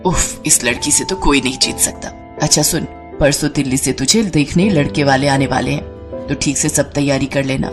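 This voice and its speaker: Storybook mother, acted by a woman: middle-aged, low, motherly, exasperated, gently chiding, music bed. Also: steady tone, normal speed. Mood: fondly chiding.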